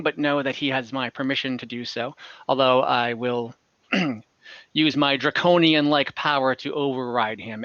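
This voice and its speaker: A man speaking as game master of an online tabletop game, halting and pausing to think as he sets the scene. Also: medium pitch, pushed and bright, no echo. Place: indoor room on a group video call. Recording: dull video-call audio.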